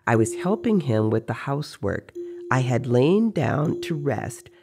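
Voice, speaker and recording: A narrator telling a dramatized story in a measured, deliberate way with pauses. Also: mid-range voice, very animated. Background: music.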